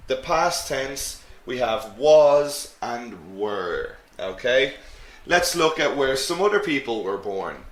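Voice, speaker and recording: A man teaching an English grammar lesson to students in a slow, clear way. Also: mid-range voice, a bit bright, echoey room.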